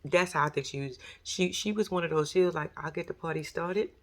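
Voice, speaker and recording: A woman with a raspy voice and a New York accent, chatting casually on her vlog in a slangy, animated way. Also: deep voice, fairly quick. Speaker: YouTube commentary host.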